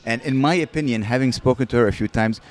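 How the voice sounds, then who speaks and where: A man hosting a podcast, introducing his guest with eager, halting speech and many restarts. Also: medium pitch. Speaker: podcast host. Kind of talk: casual interview chat. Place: podcast studio.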